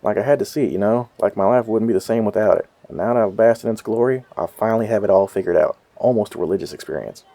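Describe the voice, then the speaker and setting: Man acting earnest reverent pilgrim guy in an audio drama, low mellow voice, talks fast. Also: gravelly, no echo. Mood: earnest awe. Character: awestruck Texan pilgrim, newly devoted believer. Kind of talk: interviewee in an audio drama news parody.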